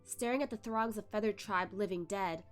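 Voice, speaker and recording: A woman hosting a livestream, narrating a web novel in a steady storytelling way. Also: medium pitch, moderate pace, faint music behind. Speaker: channel host reading a novel. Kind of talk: read-along narration.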